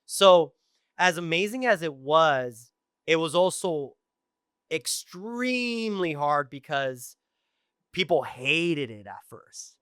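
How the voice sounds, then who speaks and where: Bright, crisp-voiced man telling stories. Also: on the high side, slow pace, dry recording, clean studio sound. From Tijuana and East Los Angeles. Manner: animated storytelling with many fillers. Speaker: guest. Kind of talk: casual podcast conversation.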